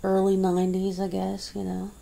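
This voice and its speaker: Woman ASMR creator, casual one-sided home chat, rambling and laughing, husky mellow tone. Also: medium pitch, monotone, moderate pace. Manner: rambling, chatty, laughs often, many fillers. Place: indoors at home.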